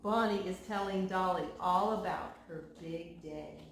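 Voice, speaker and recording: A woman's voice: on the low side, measured pace, natural voice. A woman voicing a stubborn, devoted old male farmer from a storybook. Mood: tender. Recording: very echoey room, faint noise.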